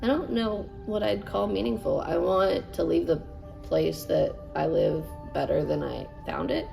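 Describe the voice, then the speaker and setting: A woman's voice: lowish voice, animated, unhurried, gravelly, dark tone. A woman who works as a dining hall associate, answering interview questions frankly. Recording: distant mic with music underneath.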